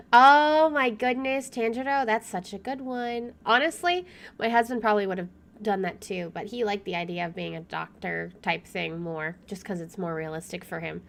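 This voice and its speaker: A young woman with a fairly high voice hosts a game stream. She chats casually and reads the story text aloud. Her manner is expressive and easily delighted. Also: gravelly, slight background noise. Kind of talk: casual chat and reading visual novel text aloud.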